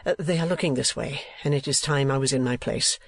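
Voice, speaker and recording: A performer voicing an earnest, polite young gentleman from a Victorian novel, sincere and quick to speak. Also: medium pitch, close to an everyday voice. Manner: quick, well-spoken, sincere.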